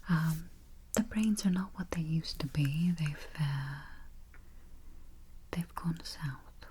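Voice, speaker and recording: A woman whispering softly as a travel agent in an ASMR roleplay, murmuring little mm-hmms between phrases, close to the mic. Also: low-pitched, slow, slightly rough. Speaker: ASMR artist playing a spooky travel agent. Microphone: close sensitive mic. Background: keyboard typing and finger taps.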